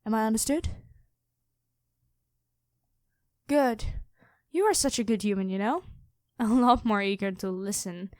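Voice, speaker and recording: Dragon queen for ASMR roleplay female performer: high-pitched, imperious and teasing, very unhurried, close dry sound. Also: a little mellow, no room sound, natural voice. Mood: teasing, commanding. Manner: teasing, commanding, drawn-out delivery. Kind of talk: ASMR roleplay addressed to the listener.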